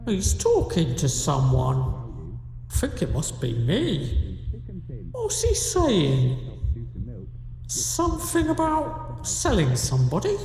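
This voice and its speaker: An actress playing a slow-witted, confused old female cow in an audio pantomime, thinking aloud and puzzling things out word by word. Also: low-pitched, wildly swooping pitch, warm tone, heavily acted. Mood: puzzled. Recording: echoey, long reverb on the voice.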